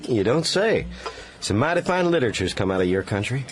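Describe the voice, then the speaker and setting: An actor playing a folksy, bookish casino croupier with an American accent in a radio drama. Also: male voice, mid-range voice, very animated, fairly quick, slight background noise, lightly acted. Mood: chatty, impressed.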